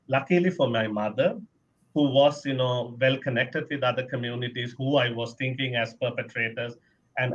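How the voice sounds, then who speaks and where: A man's voice: mid-range voice, fairly bright tone. Earnest Sri Lankan man, muffled line. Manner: earnest, measured, non-standard grammar. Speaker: peacebuilder, panelist. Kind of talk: panel discussion.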